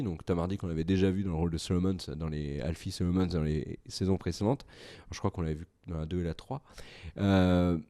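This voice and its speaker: Male solo reviewer, French accent, slightly low voice, hesitant and filler-heavy delivery. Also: mellow. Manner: hesitant, many fillers and restarts. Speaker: video reviewer. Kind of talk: solo casual review to camera.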